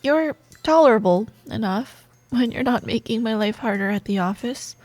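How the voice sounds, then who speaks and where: A woman playing a sardonic, secretly soft female office rival in a romantic audio roleplay, teasing and expressive, with dramatic pauses. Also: on the high side, dry recording, soft background music. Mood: grudging, playful banter.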